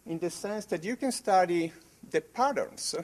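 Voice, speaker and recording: Man, Italian accent, academic talk, halting with fillers, fairly high voice. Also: animated, a little mellow. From Italy. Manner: halting, many fillers and restarts. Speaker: conference presenter. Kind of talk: academic presentation.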